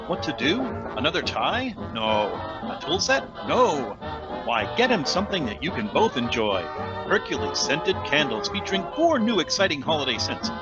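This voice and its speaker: A man playing a mock-earnest radio announcer in an old-time commercial parody, salesy with dramatic pauses. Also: fairly high pitch. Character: mock-earnest radio announcer pitching silly sponsor products. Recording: dull lo-fi sound, loud music bed.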